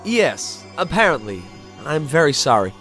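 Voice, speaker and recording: Animated, bewildered amnesiac spirit played by an actor. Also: medium pitch, moderate pace, faint music behind. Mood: hesitant. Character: bewildered amnesiac spirit, polite, dry-witted, sympathetic narrator. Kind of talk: dramatic fan dub with inner monologue.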